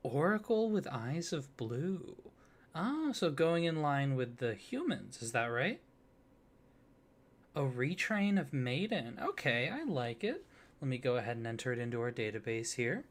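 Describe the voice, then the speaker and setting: A man speaking softly for an ASMR roleplay, as a courteous office clerk. His voice sits at a middle pitch but moves up and down a lot, giving it a lilting, animated feel. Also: unhurried. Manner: soft-spoken, polite customer-service patter.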